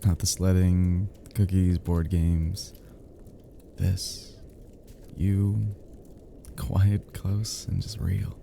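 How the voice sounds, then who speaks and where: A man playing a tender, flirty best friend in an intimate ASMR roleplay, murmuring softly and affectionately as he soothes the listener to sleep. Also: deep voice, level tone, drawn-out words, vocal fry, a little hiss or hum, exaggerated voice. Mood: tender, confessional. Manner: soft, murmuring, gently teasing, affectionate.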